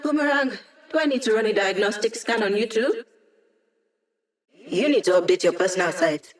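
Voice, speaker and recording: A woman's voice: medium pitch, moderate pace, recorded from a distance, close to an everyday voice. An actress voicing a sassy, cocky young female hacker in a video game, with wry, teasing and sarcastic delivery. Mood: playful. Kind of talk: video game character voice lines.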